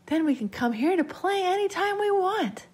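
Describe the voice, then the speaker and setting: Woman acting out high, coaxing ghostly little girl. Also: lively intonation, a little mellow. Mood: pleading, coaxing. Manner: drawn-out, coaxing, persuasive. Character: sinister spirit posing as a sweet, coaxing little girl.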